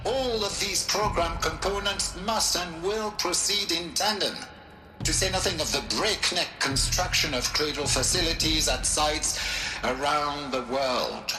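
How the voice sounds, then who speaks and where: Actor as a solemn scientist, echoing room. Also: male voice, a little high, close to an everyday voice. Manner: precise, solemn, pompous. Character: stern, dignified francophone scientist in charge of a program. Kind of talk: formal scripted briefing in a video game. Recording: roomy echo with music behind.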